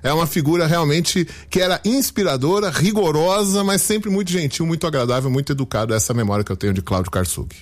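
A man's voice: a little high, expressive, fast, hardly any pauses. A man with a Brazilian Portuguese accent, a radio reporter telling a memory of a colleague in a conversational storytelling manner. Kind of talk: recalling a colleague on air.

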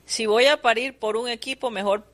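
A woman's voice: on the high side, fairly even intonation, normal speed. A woman with a Caribbean Colombian accent, giving opinions in a casual sports panel discussion. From Caribbean coast of Colombia.

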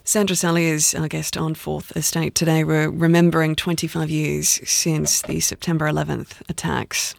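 A man hosting a radio interview, asking careful, measured questions. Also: on the high side, flat delivery, creaky. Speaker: radio host.

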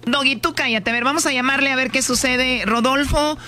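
A man's voice: very high voice, fairly even intonation, fairly quick, pushed and bright. A man hosting a radio show, interviewing a caller in a conversational way.

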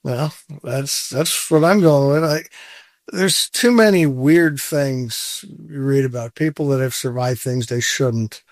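A man's voice: medium pitch, slow pace, no echo. A man in his sixties, a guest in a casual interview, talking candidly and with self-deprecating humor, often breaking into a laugh. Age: 60s.

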